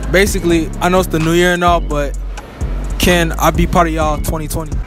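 A man's voice: a little high, moderate pace, music in the background. Playful young man interviewing in a mall. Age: young adult.

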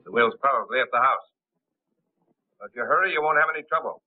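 A sly, scheming crime boss acted by a man in a 1930s crime picture. His pitch sits in the middle of the male range, and the old soundtrack is thin and phone-like. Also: fast talker, lightly acted. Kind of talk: 1930s film acting. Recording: tinny, band-limited 1930s film soundtrack.

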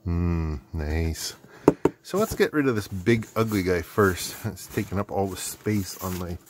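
A man's voice: sits low, expressive, unhurried, slight background noise. A middle-aged man giving casual unboxing commentary, rambling and thinking aloud as he goes. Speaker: host. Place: indoor hobby workbench.

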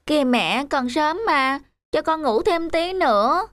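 A woman voicing a childlike, expressive young rabbit, sleepy, lazy and earnest, for a children's cartoon. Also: sits high, unhurried, a little hiss or hum. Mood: whiny, sleepy. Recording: background music under the voice.

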